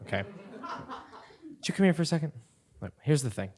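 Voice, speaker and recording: A man's voice: a little high, sing-song, brisk, a little mellow. A man playing an exasperated, skeptical plotter, the impatient straight man in a comic stage play. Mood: placating. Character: exasperated, skeptical male plotter humoring his friend. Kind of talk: comic stage acting before a live audience. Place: theatre stage, live performance.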